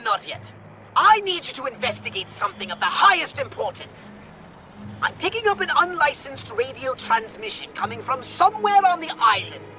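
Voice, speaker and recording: A man's voice: very high voice, pushed and bright, exaggerated voice, low-quality recording. An actor playing a fussy, imperious alien commander in a video game, prim and theatrical as he gives orders. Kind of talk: video game cutscene acting.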